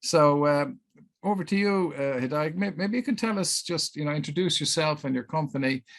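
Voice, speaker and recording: A man hosting a casual interview, asking questions in a hesitant, halting way. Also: fairly high pitch. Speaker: interviewer, host, business coach.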